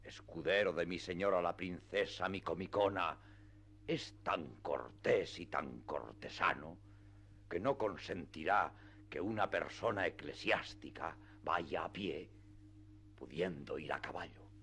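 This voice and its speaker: An actor playing a grave, courteous, deluded old knight in a solemn, ceremonious radio theatre style. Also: male voice, sits low, unhurried, a bit bright, breathy edge, full character voice. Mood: ceremonious, gracious. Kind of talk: radio theatre acting of classic literature.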